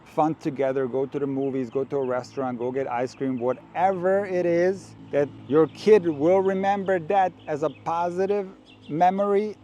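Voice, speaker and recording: A man from Croatia who coaches tennis, talking to camera in an earnest, direct and measured way, outdoors. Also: on the high side, steady tone, warm tone, slight background noise.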